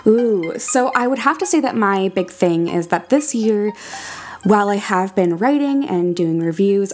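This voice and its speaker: A woman's voice: mid-range voice, darkish tone. A woman speaking as an interview guest, explaining things in an articulate, conversational way. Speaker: podcast critic and audio drama creator, guest.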